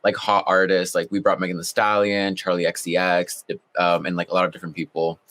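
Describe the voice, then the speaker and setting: A laid-back young man with a very low voice, chatting as a podcast guest. He fills his sentences with "like" and keeps an easy, relaxed flow. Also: a little fast. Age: young adult. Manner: laid back, heavy use of "like". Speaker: guest, college student. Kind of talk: casual interview chat.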